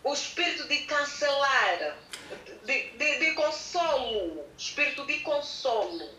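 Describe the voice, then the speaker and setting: A woman working as a consecutive interpreter, halting at times as she searches for words. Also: sits high, very animated, pushed and bright, slight background noise. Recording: echoey room sound.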